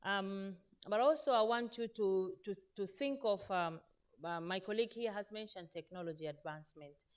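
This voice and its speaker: A woman's voice: medium pitch, moderate pace. A woman, a veteran teacher speaking earnestly on a conference panel, with many fillers and self-corrections. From Zanzibar, Tanzania. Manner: earnest, addresses audience directly, frequent fillers and self-corrections. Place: conference stage in Turkey.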